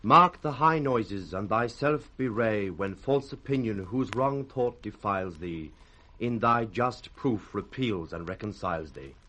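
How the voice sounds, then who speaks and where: A British man playing a grieving young nobleman in hiding, delivering measured verse as a 1960s radio soliloquy. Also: fairly low pitch, animated, sharp tone, heavily acted, poor audio. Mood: resolute, wary.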